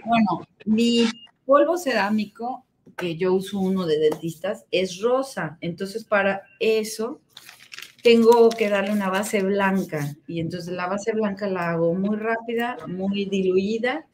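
A woman's voice: mid-range voice, a little slow, a little hiss or hum. A Mexican woman demonstrating a craft project, explaining each step as she works. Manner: explains step by step, warm and relaxed.

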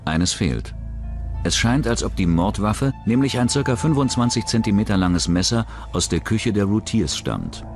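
A man's voice: on the low side, animated, moderate pace. A man narrating a scripted true-crime documentary. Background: background music.

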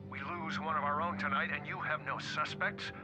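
An actor playing a stern, authoritative police captain reprimanding someone over the phone in a video game. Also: male voice, a little high, fairly even intonation, normal speed, music in the background. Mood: scolding, angry. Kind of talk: dramatic game voice acting.

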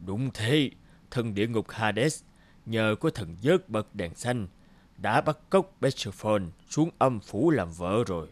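Man as slow, timid sun god. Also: lowish voice, lively intonation. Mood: cautious. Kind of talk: cartoon fairy tale dubbing.

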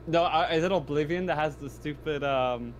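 A man's voice: on the high side, normal speed. A man joking casually as a player in a tabletop game, on a noisy home voice-chat microphone.

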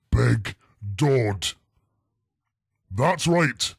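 A man's voice: medium pitch, husky, no echo, studio quality. A man playing a menacing prank caller in a comic phone call sketch.

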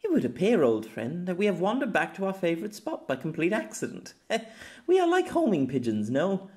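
Man putting on a high-pitched, giggly young lady. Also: huge pitch swings, moderate pace, a little mellow. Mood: playful, musing. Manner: quaint formal phrasing, giggly, wide-eyed. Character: giggly, teasing, old-fashioned young lady, easily delighted.

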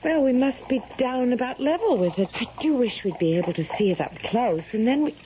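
An actress playing a romantic, excitable young Victorian daughter, breathless and full of wonder, in a radio drama. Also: female voice, on the high side, brisk, lightly acted. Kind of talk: 1970s radio drama acting. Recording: dull vintage radio broadcast, faint noise.

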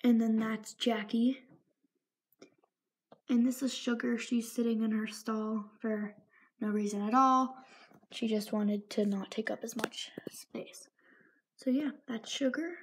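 A woman's voice: on the high side, steady tone, takes it slowly, pauses often, breathy edge. A young girl chatting casually as she films a tour of her toy horse barn at home, sometimes dropping to a whisper. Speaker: vlogger. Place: home room, indoors. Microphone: phone held in hand while filming.

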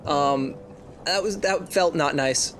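A man playing a tabletop game, casually improvising a description of his character in a halting, jokey way. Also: a little high, fairly bright tone, slight background noise.

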